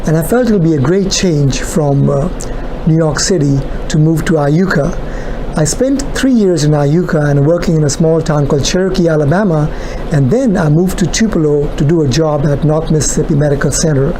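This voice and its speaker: A middle-aged man from India answering interview questions in a measured, earnest way, with long pauses. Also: on the high side, background noise. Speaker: interviewee, cardiologist.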